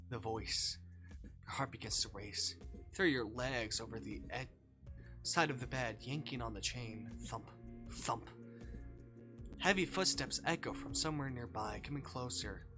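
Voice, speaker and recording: A man livestreaming, reading a visual novel aloud in a dramatic, hushed storytelling way. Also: medium pitch. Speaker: streamer. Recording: faint music behind. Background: faint music.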